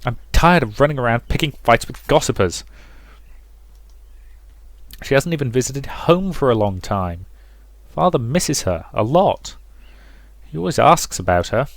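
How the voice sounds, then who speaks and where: A man's voice: mid-range voice, expressive, normal speed, creaky, long pauses, no echo, voice pushed up. A man voicing a brash, sweary, dramatic sixteen-year-old girl in a visual novel, complaining emphatically. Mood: exasperated.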